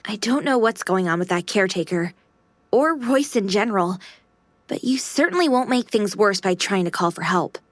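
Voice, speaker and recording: A woman's voice: mid-range voice, expressive, normal speed, dry recording, lightly acted. An actress playing a cute, devoted AI maid girl in a video game, speaking in a polite but teasing, pert manner. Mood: helpful, polite.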